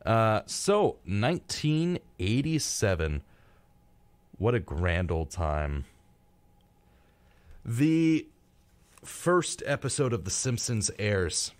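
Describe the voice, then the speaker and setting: Low-pitched man hosting casual radio. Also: sing-song, slow pace, pauses often, no echo. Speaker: radio show co-host. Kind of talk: casual, chatty live radio talk. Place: radio studio. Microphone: close studio microphone.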